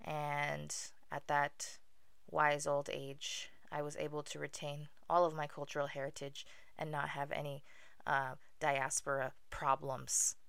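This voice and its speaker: A woman's voice: sits low, completely flat delivery, measured pace, vocal fry, fairly bright tone, clean tone, no echo. A young adult woman from Venezuela hosting her own podcast, talking casually in a wry, self-deprecating way with frequent ums. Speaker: podcast host. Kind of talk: casual solo podcast monologue.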